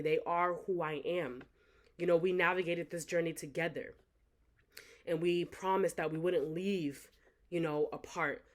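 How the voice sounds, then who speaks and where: A young adult woman, an author, speaking earnestly and reflectively to her supporters in a motivational talk, often repeating phrases for emphasis. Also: sits low. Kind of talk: motivational direct-to-camera talk.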